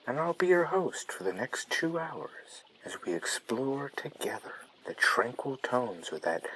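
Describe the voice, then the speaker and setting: A man playing a dreamy late-night radio host, speaking in a hushed, breathy, soothing style over a running stream. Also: medium pitch, a little hiss or hum, poor audio. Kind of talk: soft relaxation radio narration. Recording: nature ambience behind the voice.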